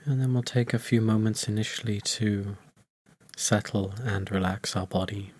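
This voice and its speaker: Male meditation teacher, lowish voice, soothing delivery, short phrases spaced by generous silences. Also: steady tone, a little mellow. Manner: soothing, unhurried phrases with long pauses. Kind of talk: guided meditation.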